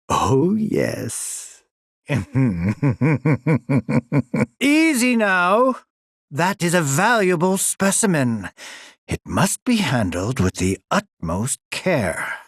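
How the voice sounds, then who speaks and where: Game villain: sinister older scientist, slow, mockingly polite delivery with extreme melodic swings, gleefully superior, echoey and off-mic. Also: male voice, mid-range voice, big pitch swings, a bit bright, heavily acted. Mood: smug fascination, then gleeful cruelty. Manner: mocking, theatrically polite, drawn-out phrasing. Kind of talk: theatrical video game villain acting. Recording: roomy echo, distant from mic.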